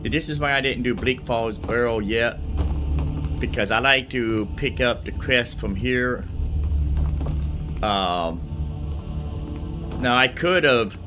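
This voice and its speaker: A man giving casual video game playthrough commentary, with hesitant, drawn-out phrasing. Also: medium pitch. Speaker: gaming video host and player. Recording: game music under the commentary.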